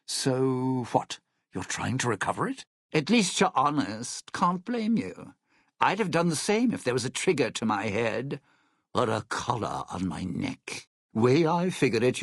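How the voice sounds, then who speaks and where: An actor voicing a scheming, bitter, sardonic crook in a video game, with a sly, theatrical delivery. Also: male voice, mid-range voice, vocal fry, breathy edge, no echo, clean studio sound, heavily acted. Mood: suspicious, irritated. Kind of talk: video game character dialogue.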